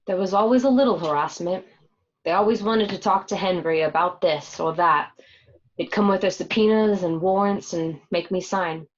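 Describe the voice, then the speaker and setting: Gangster's wife for radio drama, played by a woman: lowish voice, candid, matter-of-fact, slightly dull lo-fi home recording. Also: normal speed, close to an everyday voice. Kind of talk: scripted first-person narration in an audio drama.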